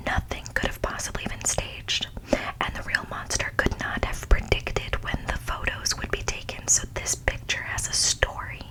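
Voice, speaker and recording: A woman speaks entirely in a breathy whisper, in the style of an ASMR video. Her delivery is informal and conversational. She stresses her points and often repeats phrases for emphasis. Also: poor audio. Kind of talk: whispered ASMR, part reading, part casual commentary.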